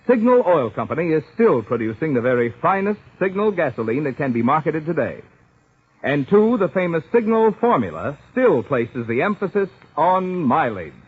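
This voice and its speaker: Emphatic, animated 1940s radio pitchman. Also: breathy edge. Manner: emphatic salesman delivery. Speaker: radio commercial announcer. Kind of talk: 1940s radio sponsor announcement. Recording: muffled vintage radio recording.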